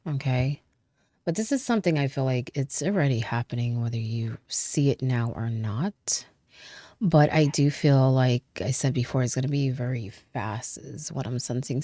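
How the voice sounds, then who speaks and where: A woman giving a casual tarot reading, chatty, with frequent fillers, trailing pauses and light laughs, and sounding stuffy from a cold. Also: extremely low pitch, warm tone, no echo. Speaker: tarot reader.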